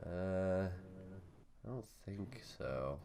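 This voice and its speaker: A man's voice: low voice, lively intonation, very slow, noisy background. A man hosting a podcast in casual chat, talking in a hesitant, rambling way and laughing easily. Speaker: podcast host.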